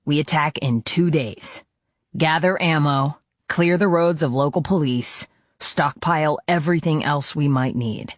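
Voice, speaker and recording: A man's voice: a little high, measured pace, no echo, highs cut off, close to an everyday voice. A man playing a tough male biker gang leader who talks in a curt, commanding manner.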